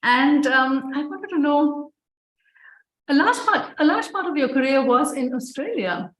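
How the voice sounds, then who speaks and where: A woman interviewing a guest, encouraging and quick with short affirmations. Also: sits high, animated, a bit bright. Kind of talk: interview conversation. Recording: roomy echoing sound.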